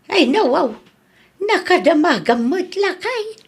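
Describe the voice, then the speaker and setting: A woman with an Ilocano Filipino accent playing a nosy, suspicious older village mother in a comedy radio drama, with a husky, probing delivery. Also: very high voice, big pitch swings, slow pace, exaggerated voice. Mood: surprised, gossipy.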